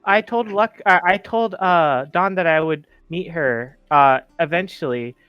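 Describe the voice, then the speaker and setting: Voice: medium pitch, unhurried, smooth, no room sound, muffled recording, faint music behind, close to an everyday voice. A player voicing a polite, hesitant orphaned teenage boy in a tabletop game, stammering a little. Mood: eager, hesitant.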